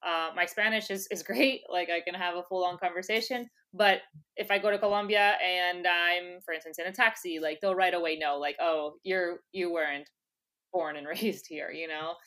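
A woman's voice: fairly low pitch, steady tone, normal speed, fairly bright tone. A woman talking casually as a podcast guest, chatty and laughing often, with lots of filler words. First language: English. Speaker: interview guest, former soccer player. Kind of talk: casual conversational interview.

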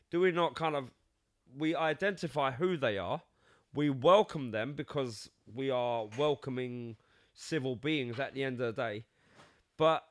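A man in his fifties speaks with a London accent in a casual podcast chat. His talk is animated, swinging between loud and soft, with repeated words as he gathers his thoughts. The sound is dry and close. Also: mid-range voice, no echo. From England. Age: 50s. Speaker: podcast co-host.

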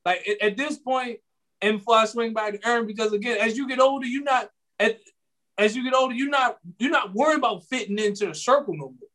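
A man's voice: very high voice, fast talker, sharp tone. A man in his late twenties talking casually on a podcast, rambling and slangy, often repeating his words. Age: 20s. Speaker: podcast co-host.

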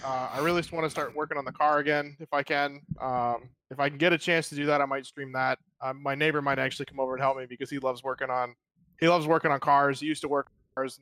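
A man's voice: medium pitch, a bit bright, clear, resonant tone, no room sound. A man co-hosting a podcast, telling a rambling story and laughing often. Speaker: podcast co-host. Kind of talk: casual chat.

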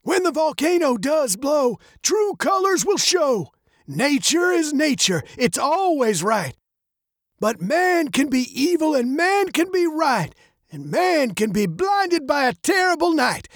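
A narrator putting on an eerie old prophet, pitched way up. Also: sing-song, slow pace, no echo, clean studio sound, heavily acted. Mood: dark, foreboding. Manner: ominous, chanting in rhyme. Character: eerie blind old man, darkly prophesying doom.

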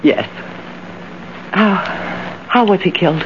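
An actress playing an anxious, evasive murder suspect, halting and melodramatic, in a 1940s radio drama. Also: female voice, fairly low pitch. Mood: guarded, anxious. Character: scheming murderess under questioning, anxious, evasive. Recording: muffled, faintly hissy old radio recording.

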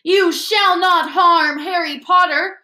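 Lady doing a squeaky little house elf guy, servile n excitable, really high, storybook reading, echoey. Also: slow pace, heavily acted. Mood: fierce, protective. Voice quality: shrill, squeaky. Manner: speaks of himself in third person, emphatic.

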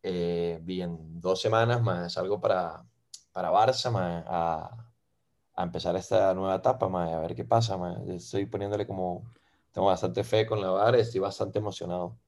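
Man in his thirties, costa rican accent, casual podcast guest, lowish voice, easygoing filler-heavy chat. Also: normal speed. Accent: Costa Rican Spanish. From Venezuela. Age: 30s. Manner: relaxed, fills with "ma" and "eh".

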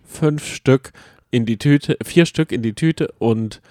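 A man's voice: medium pitch, slow, darkish tone, no room sound, clean studio sound. A man co-hosting a podcast in casual, playful chat with a joking way of talking. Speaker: podcast co-host.

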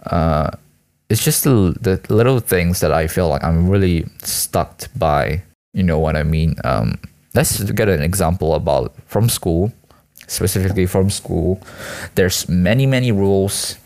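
Young man, student from Malaysia, low-pitched. Casual solo vlog ramble, halting and self-mocking, full of slang. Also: darkish tone. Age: teens to young adult, school student. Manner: hesitant, self-deprecating, slangy. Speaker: student vlogger and aspiring actor.

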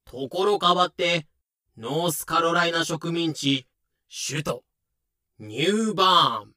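A man's voice: on the high side, lively intonation, takes it slowly, sharp tone. A man narrating a scripted audio drama in a clipped, announcing manner. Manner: clipped, announcing dates and titles.

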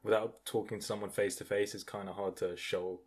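A young man, an engineering student, chatting candidly and telling animated stories with casual swearing. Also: lowish voice, brisk, a crowd in the background. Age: young adult. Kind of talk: casual podcast chat.

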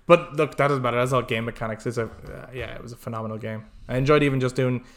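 A man's voice: medium pitch, steady tone, fast talker. A man co-hosting a podcast, chatting casually and enthusiastically about video games with plenty of swearing. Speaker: podcast co-host.

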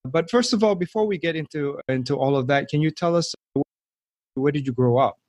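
Male podcast host, friendly and unpolished, bright-ish pitch, dry close mic. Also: brisk, no echo. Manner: friendly, with frequent fillers and restarts. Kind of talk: podcast interview.

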